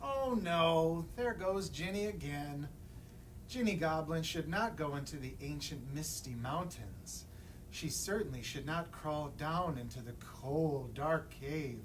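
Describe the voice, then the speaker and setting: A man reading a children's picture book aloud as a librarian at story time, expressively, putting questions to young listeners. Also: a little high. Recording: distant mic, room noise.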